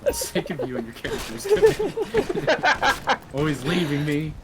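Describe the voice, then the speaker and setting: A man's voice: fairly high pitch, wildly swooping pitch, takes it slowly. Man in noisy gaming voice chat. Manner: profane, teasing. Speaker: online roleplay game player. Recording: clearly noisy game voice chat.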